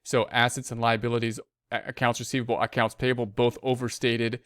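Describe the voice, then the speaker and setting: A man's voice: mid-range voice, little pitch movement, a little fast, fairly bright tone, smooth, no room sound. A man narrating a screen-recorded spreadsheet tutorial as an accounting instructor, informally thinking aloud step by step into a close mic.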